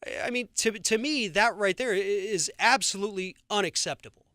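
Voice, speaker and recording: A man's voice: sits high, fairly quick, pushed and bright, no echo. A man with an American accent hosting a radio interview, speaking emphatically and indignantly, often stammering over repeated words.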